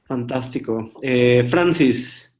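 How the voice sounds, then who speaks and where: A man leading an online workshop on a video call, briskly calling on each participant in turn. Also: medium pitch, darkish tone. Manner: brisk, calls on participants one by one. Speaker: workshop instructor.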